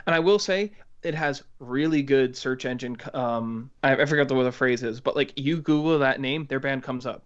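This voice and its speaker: A man's voice: mid-range voice, moderate pace, hardly any pauses. A man co-hosting a podcast in casual, conversational chat. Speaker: podcast co-host. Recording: slightly dull, lo-fi microphone sound.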